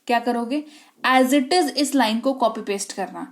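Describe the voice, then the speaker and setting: A young woman with an Indian accent teaching an English lesson, speaking slowly and emphatically and often checking that her students follow. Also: on the high side.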